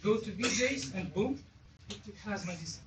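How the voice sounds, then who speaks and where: Halting, insistent speaker in a noisy room. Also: mid-range voice, expressive. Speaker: audience member giving feedback. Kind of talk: spoken feedback at a business meeting. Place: event room with audience. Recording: distant mic, echoey room, clear noise.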